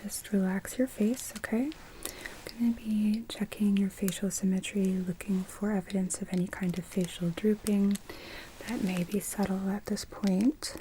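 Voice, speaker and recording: A woman's voice: mid-range voice, fairly even intonation, normal speed, slight background noise, rough recording. Whispering woman doing ASMR doctor roleplay. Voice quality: soft, breathy whisper. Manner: gentle, instructing, reassuring. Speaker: ASMR performer playing a doctor.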